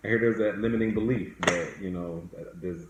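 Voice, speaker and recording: A man with a low voice guides someone through a process in plain conversational steps. He is affirming and instructive as a coach and host. The room adds noticeable echo. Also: fairly quick, background noise. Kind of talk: conversational coaching, step-by-step guidance. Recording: echoey room.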